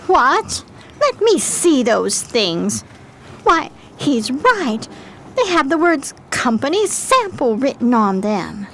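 A chic, phony-posh American con artist acted by a woman for a 1920s crime drama. Her voice is high and swoops with la-di-da airs, at a leisurely pace. There is slight noise behind her. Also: big pitch swings, slow pace, a bit bright, full character voice. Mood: shocked, indignant. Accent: American, affected upper-crust. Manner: haughty, la-di-da.

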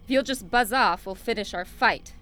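A woman's voice: mid-range voice, normal speed, a bit bright, smooth, close to an everyday voice. A woman playing a competitive, combative starship doctor in a parody radio play.

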